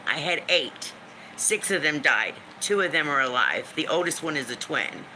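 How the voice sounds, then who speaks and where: Deep-voiced, blunt woman in her fifties. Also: animated, normal speed, noisy background. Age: 50s. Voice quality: deep smoker's voice. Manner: blunt, emphatic, swears freely, repeats for emphasis. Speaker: livestream host. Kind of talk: emotional live-stream venting. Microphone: phone or webcam for a live stream.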